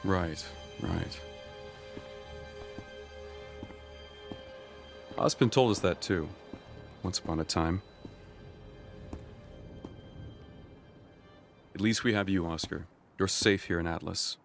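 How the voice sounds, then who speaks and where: An actor playing a grave, resolute middle-aged military general in an animated drama, measured and authoritative. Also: male voice, low voice, vocal fry, faint music behind. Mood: somber.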